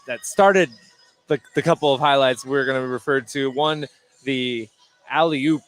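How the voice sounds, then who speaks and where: A man giving conversational live color commentary on basketball, halting and repeating words as he forms his points. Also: medium pitch, a bit bright. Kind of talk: live conversational sports analysis. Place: indoor basketball gym.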